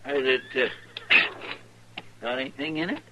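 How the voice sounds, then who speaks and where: An actor playing a garrulous, curious cowboy sidekick with an excitable rural Western American drawl in an old radio western. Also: male voice, medium pitch, normal speed. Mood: curious, hesitant. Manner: folksy, excitable, hesitant. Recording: hissy old radio broadcast.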